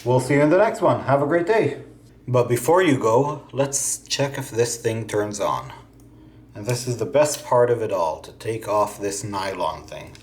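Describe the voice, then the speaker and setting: A man's voice: mid-range voice, expressive, normal speed, slight background noise. Chatty man vlogging in an echoey room. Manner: chatty, thinks aloud while fiddling with gear. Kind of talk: casual how-to vlog.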